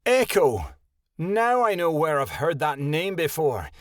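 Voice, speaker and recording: A man voicing a stern, motherly female wolf elder in a high, gentle voice. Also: sing-song, unhurried, no echo, clean studio sound. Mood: accusing.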